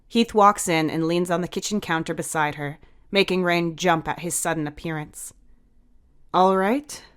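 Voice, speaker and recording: A woman's voice: low voice, steady tone, moderate pace, a bit bright, clear, resonant tone. A woman narrator reading a scripted fictional story.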